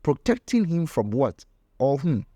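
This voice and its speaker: Man voicing a stern, slow-speaking female village elder. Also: mid-range voice, very animated, dark tone, dry recording, clean studio sound. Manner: grave, questioning, admonishing.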